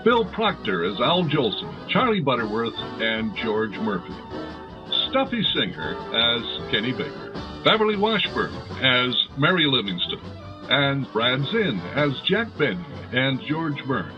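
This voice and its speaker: An actor playing a genial, blunt announcer sidekick in an old-time radio comedy style. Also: male voice, mid-range voice, big pitch swings, unhurried, music in the background. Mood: formal, genial. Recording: slightly dull radio-style audio.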